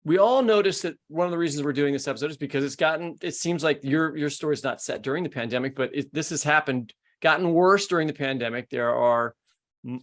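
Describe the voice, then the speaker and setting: A man's voice: mid-range voice, gravelly. An American man hosting a podcast, talking in a conversational, rambling, emphatic way as he works through his questions. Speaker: podcast host.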